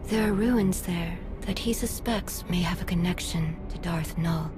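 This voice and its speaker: A woman playing a serene, wise Jedi mentor in a video game, speaking formally and calmly in measured phrases. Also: on the low side, natural voice. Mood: calm, reassuring. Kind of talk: video game dialogue acting. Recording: music behind the voice.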